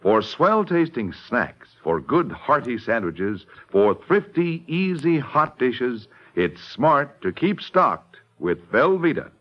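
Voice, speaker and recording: A man's voice: medium pitch, very animated, takes it slowly, few pauses. A man announcing a 1950s radio show and its sponsor with polished, folksy salesmanship. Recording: muffled vintage radio recording.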